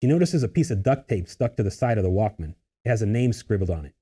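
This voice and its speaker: A man's voice: lowish voice, expressive, fast talker, warm tone, slightly rough. A man narrating a screenplay, reading out scene headings and stage directions as scripted narration.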